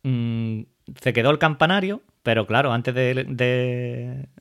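A man's voice: medium pitch, takes it slowly. A man hosting a podcast, talking in a casual, chatty way, with many fillers and restarts. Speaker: podcast host.

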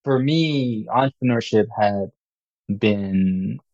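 A man's voice: on the low side, slow pace, gravelly, darkish tone, no echo. A young man from Korea, a startup founder, answering interview questions in an earnest, upbeat way. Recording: dull, muffled sound.

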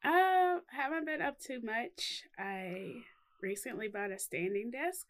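A woman's voice: a little high, expressive, slow, fairly bright tone. A woman co-hosting a podcast from home in casual chat, rambling and laughing often. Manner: giggly, rambling. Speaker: podcast co-host. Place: home in Texas.

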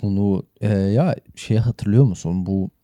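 A man's voice: on the low side, unhurried, warm tone, dry recording, clean studio sound. A man, co-hosting a podcast in a casual, conversational way. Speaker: podcast co-host. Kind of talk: casual chat.

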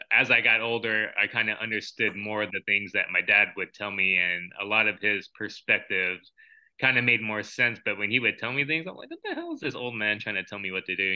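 Low-pitched man with a bright, tense voice. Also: smooth, rough recording. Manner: reflective, thinks aloud with fillers. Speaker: podcast co-host. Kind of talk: casual chat.

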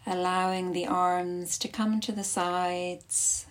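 A woman leading a guided yoga nidra class as instructor, speaking soothingly in short instructive phrases with long pauses. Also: lowish voice, flat delivery.

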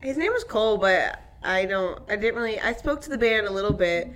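A young woman with a raspy, hoarse voice, co-hosting a podcast and chatting excitedly about reality shows and her week. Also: medium pitch. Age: young adult. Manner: excitable, quick storyteller. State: hoarse from screaming at work. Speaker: podcast co-host. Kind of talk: casual chat.

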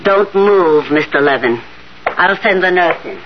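Skeptical doctor, measured and matter-of-fact, dull vintage radio drama recording with some hiss. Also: male voice, high-pitched, animated. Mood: brisk, doubtful. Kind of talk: 1970s radio drama acting. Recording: muffled, hissy 1970s radio recording.

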